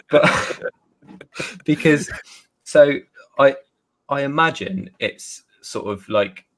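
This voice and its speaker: A man from Somerset with a softened West Country English accent, chatting casually as an interview guest, self-deprecating and trying to sound well spoken. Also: fairly low pitch. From Somerset, England. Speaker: guest author.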